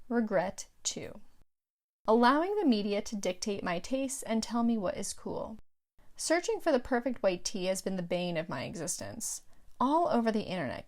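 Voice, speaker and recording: A middle-aged woman with a slightly high voice reads scripted audiobook narration as the author. Her delivery is measured, and each phrase is set out clearly.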